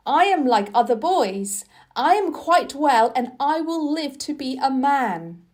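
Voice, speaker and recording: A woman's voice: sits high, very animated, takes it slowly, a little mellow, pitched above the performer's own voice, full character voice. A woman voicing an imperious, earnest young boy in a storybook, declaring things emphatically. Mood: proud, resolute.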